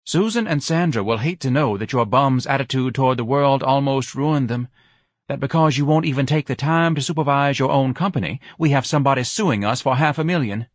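A man's voice: mid-range voice, fairly quick, no echo, studio quality, pitched above the performer's own voice, lightly acted. A man voicing a melodramatic, self-righteous middle-aged wife in an audiobook, grandly reproachful and scornful. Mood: martyred, reproachful. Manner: overwrought, martyred, grandly reproachful.

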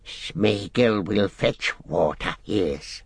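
A man voicing a wretched, wheedling creature with a thin, hissing, raspy voice, whining and repeating words in an audiobook. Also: low voice, extremely slow, no room sound, clean studio sound, full character voice. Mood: grudging, wheedling. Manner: repeats words, whining, speaks of self in third person.

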